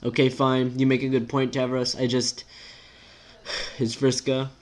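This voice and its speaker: A man's voice: medium pitch, monotone, measured pace, close to an everyday voice. A man voicing a vain, irritable, sarcastic teenage girl with a biting, emphatic delivery. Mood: reluctant honesty.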